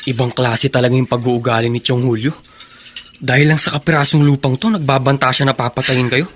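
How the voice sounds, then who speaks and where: A man's voice: medium pitch, steady tone, brisk, a bit bright, soft background music, close to an everyday voice. Male actor as devoted son, mid-range voice, polite, earnest, determined, radio drama. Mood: indignant. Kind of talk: Tagalog radio drama acting.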